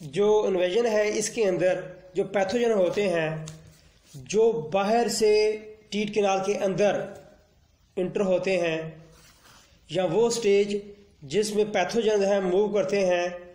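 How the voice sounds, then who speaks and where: A man, a veterinarian giving a measured instructional lecture that mixes with English technical terms. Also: sits high, fairly even intonation, a little mellow, roomy sound. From Pakistan. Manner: slow, measured explanation mixing Urdu and English terms. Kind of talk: instructional lecture on livestock disease.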